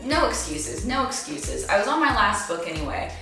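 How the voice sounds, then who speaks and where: A young woman chatting casually to viewers about what she recommends, with an animated, gushing, emphatic manner. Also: mid-range voice, normal speed. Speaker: book review vlogger. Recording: echoey room, distant mic, faint music.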